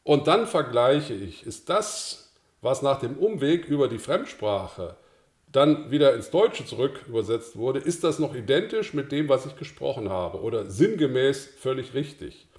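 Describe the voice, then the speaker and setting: Older German man explaining calmly, echoey room. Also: medium pitch. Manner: calm, explanatory, with occasional filler sounds. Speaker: course instructor. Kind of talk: screencast tutorial.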